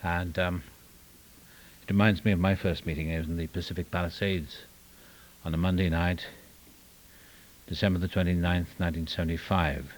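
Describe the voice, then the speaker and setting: Older Welsh man, very deep voice. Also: flat delivery, normal speed, long pauses. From Wales. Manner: self-deprecating, wry humor; rambling with restarts and trailing asides. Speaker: recovery meeting speaker. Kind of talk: personal testimony talk. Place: meeting room.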